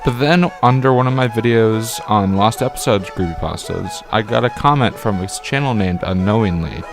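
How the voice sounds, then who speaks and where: A man's voice: on the low side, normal speed, gravelly. A man narrating a scripted video essay review as the channel's host, with emphatic, wordy phrasing that leans on repeated words. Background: background music.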